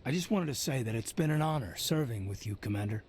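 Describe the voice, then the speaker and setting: An actor playing a dutiful, earnest young lieutenant in a video game, sincere and slightly hesitant. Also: male voice, medium pitch, expressive, fast, a little mellow, a little hiss or hum, natural voice. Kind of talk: video game dialogue acting.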